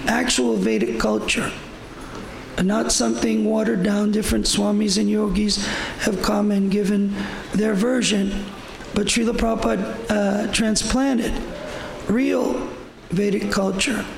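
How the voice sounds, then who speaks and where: An older man with a hoarse voice giving an informal devotional talk at a temple, rambling and repeating himself. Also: sits high, fairly even intonation, unhurried, reverberant, slight background noise, low-quality recording. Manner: rambling, repetitive, with fillers. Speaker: visiting speaker at a temple. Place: temple hall.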